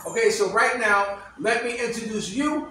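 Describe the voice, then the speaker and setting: A man hosts a playful, teasing interview, often halting on his fillers. His voice sits high for a man. He is recorded from a distance in a very echoey room. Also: bright, forceful tone, a little hiss or hum. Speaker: host. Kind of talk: casual mock late-night interview. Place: room with a fan running.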